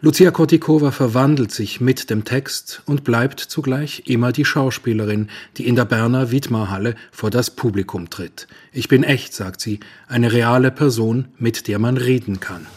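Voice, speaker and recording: A man with a husky, breathy voice reading a scripted arts report. He speaks in a measured, literary way, at a middle pitch. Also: darkish tone. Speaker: culture radio reporter. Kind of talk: scripted narration.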